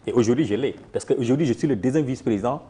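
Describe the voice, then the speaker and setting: A man's voice: mid-range voice, very fast, dark tone, slightly rough. A man who leads a farmers' organization, answering interview questions emphatically, with frequent restarts.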